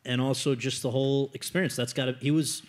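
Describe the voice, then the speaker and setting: A man's voice: medium pitch, steady tone, fast. A man hosting an on-stage interview, asking long, thoughtful questions with hesitant restarts. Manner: thoughtful, quotes back the guest's words, hesitant restarts. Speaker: interviewer, festival talk host.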